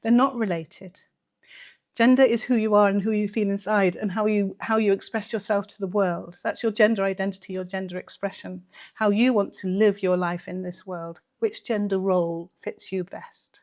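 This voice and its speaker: A woman's voice: mid-range voice, steady tone, moderate pace, darkish tone. Earnest, emphatic woman commentator. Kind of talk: conversational reaction commentary to camera.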